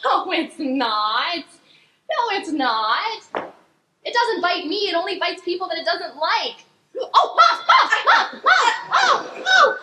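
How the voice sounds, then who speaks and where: A woman's voice: very high-pitched, big pitch swings, slow pace, exaggerated voice. A woman playing an earnest, pleading young daughter in an improv comedy scene. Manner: childlike, pleading, sweet. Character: earnest young daughter back from camp, fond of pet spider. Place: live improv stage with audience. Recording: echoey stage with some noise.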